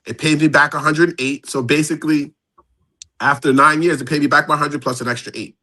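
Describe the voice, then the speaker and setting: A man's voice: fairly high pitch, fairly even intonation, fairly quick, bright, forceful tone. A man in his fifties, a guest on a live stream, casually walking through numbers step by step. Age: 50s.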